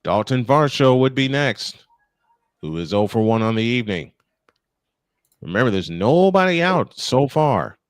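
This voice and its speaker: A man's voice: medium pitch, very animated, a little slow, pauses often, dry recording, studio quality. Man calling a live baseball game. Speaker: play-by-play baseball commentator. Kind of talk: live sports commentary with casual banter.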